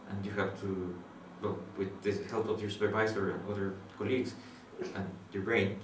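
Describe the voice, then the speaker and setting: A young man from Guatemala talks through his astronomy research in halting second-language English, full of pauses and restarts. His voice sits on the low side. He is recorded from a distance in a roomy space, with faint noise behind him. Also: level tone. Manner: halting, many fillers and restarts, thoughtful. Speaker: PhD student in astronomy. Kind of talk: interview, explaining his research.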